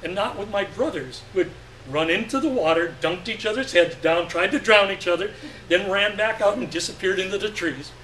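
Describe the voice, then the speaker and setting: Guy reading a story out loud, expressive w dramatic pauses, echoey room, bit of background noise. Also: sits high. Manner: deliberate phrasing with dramatic pauses. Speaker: story reader. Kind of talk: expressive live literary reading. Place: live reading event indoors. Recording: echoey room, distant mic, faint hum.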